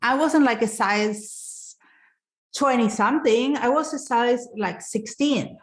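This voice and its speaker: A woman's voice: a little high, takes it slowly. Woman host in an echoey room. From Argentina. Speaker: podcast host. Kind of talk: casual interview conversation.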